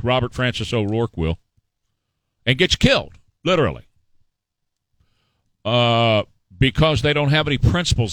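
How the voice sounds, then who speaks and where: A man's voice: medium pitch, fairly bright tone, no echo. Middle-aged guy ranting about politics, sarcastic and mocking, voice swings up and down a lot, dry close sound. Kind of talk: opinionated political commentary.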